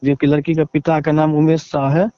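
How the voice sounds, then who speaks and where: A man with a Bihari accent giving a halting, deferential account in a phone interview, leaning on repeated filler phrases. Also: a little high, level tone, no echo. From Bihar, India. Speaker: interviewee, relative seeking justice. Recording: dull lo-fi phone call.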